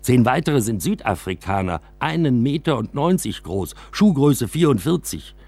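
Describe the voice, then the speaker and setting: A man narrating a scripted first-person story for a radio drama, with an expressive delivery. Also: mid-range voice, normal speed, no echo.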